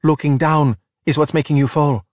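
A man's voice: on the high side, fairly even intonation, moderate pace, darkish tone, no echo, clean studio sound, natural voice. A man voicing a bossy, exasperated, spirited young Italian girl who drops Italian words into emphatic talk in a children's audiobook. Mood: brisk, commanding. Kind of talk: audiobook character voice in a children's story.

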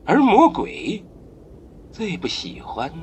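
A man narrating a science-fiction radio drama in a solemn storytelling manner, with dramatic pauses. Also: high-pitched, huge pitch swings, slight background noise.

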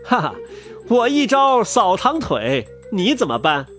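A man as a playful grass king, boastful, theatrical, very animated pitch, children's story, music behind. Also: measured pace, exaggerated voice. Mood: proud, challenging. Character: proud playful grass king, martial-arts challenger.

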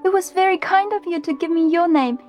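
A woman voicing a curious, innocent little princess, speaking high and eager. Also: fairly quick, a little mellow, faint music behind. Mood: wondering.

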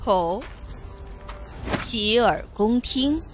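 A woman playing an arrogant, mocking dark elf villainess in a radio drama, haughty and fanatically loyal. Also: on the high side, lively intonation, very slow, faint music behind. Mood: smug mockery. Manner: haughty, taunting.

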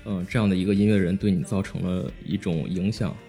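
Male podcast host, quick delivery, mellow dark tone, polite interviewer. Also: low-pitched, steady tone, soft background music. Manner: polite, rambling questions. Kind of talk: interview questions.

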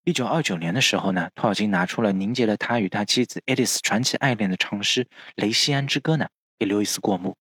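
A man hosts a podcast in a fairly low voice with a rough, husky grain. He explains from notes, often tagging phrases with trailing particles. The recording is very dry. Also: fairly bright tone, no room sound. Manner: frequent drawn-out filler particles at phrase ends. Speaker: podcast host.

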